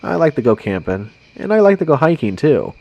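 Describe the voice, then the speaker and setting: A man's voice: on the high side, sing-song, brisk, gravelly, lo-fi sound. A man reading a first-person scary story in a conversational narration style.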